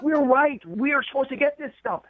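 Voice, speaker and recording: A man's voice: very high-pitched, brisk. A man on a radio phone-in arguing defensively and repetitively in an aggrieved, conversational way over a phone line. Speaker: radio phone-in guest, spurned date. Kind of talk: heated conversational phone argument. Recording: tinny narrow phone line.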